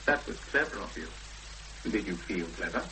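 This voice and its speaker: An actor playing a composed, probing questioner in a 1970s British radio drama, on an old recording. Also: male voice, sits low, animated, normal speed, vocal fry, background noise. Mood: probing, calm.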